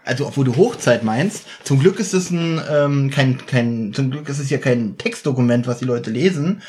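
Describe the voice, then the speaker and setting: A man co-hosting a podcast, bantering casually in a joking, halting way. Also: medium pitch, animated, darkish tone. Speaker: podcast co-host.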